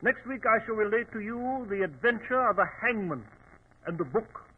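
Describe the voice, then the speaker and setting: High, husky gentleman sidekick, radio. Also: expressive, moderate pace. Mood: storytelling relish. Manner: earnest, questioning, gentlemanly. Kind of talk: 1940s radio acting. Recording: tinny old radio recording.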